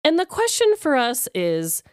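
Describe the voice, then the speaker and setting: A woman's voice: sits high, wildly swooping pitch, unhurried, a little mellow, dry recording, studio quality. A woman co-hosting a podcast with casual banter, speaking in an informal, emphatic, reactive way. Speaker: podcast co-host.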